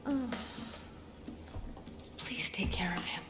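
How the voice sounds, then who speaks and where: A woman's voice: slow, whispered, natural voice. A woman playing a down-to-earth, affectionate expectant mother in natural video game dialogue. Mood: pleading. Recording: roomy echo.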